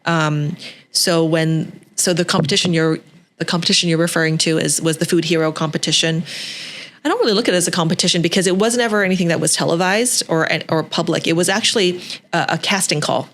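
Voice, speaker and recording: A woman's voice: on the low side, fast talker, fairly bright tone. Reflective woman in her thirties. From Canada. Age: 30s. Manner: reflective, with frequent fillers and restarts. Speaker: guest. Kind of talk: podcast interview. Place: Hong Kong.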